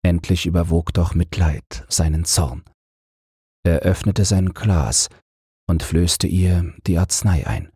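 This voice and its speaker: A male audiobook narrator tells a bedtime fairy tale. His voice is low and very husky, recorded dry with hardly any room around it. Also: takes it slowly, dark tone, no room sound.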